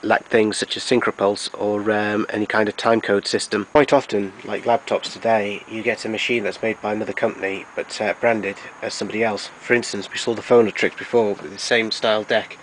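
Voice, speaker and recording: Low-pitched man demonstrating gadgets, noisy recording. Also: flat delivery, brisk, few pauses. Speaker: collector presenting his tape recorders. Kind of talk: informal product demonstration narration.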